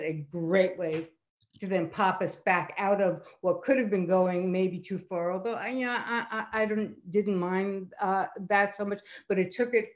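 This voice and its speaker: Older woman giving spontaneous, emphatic feedback. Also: lowish voice. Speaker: guest judge at a story slam. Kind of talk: spontaneous spoken critique.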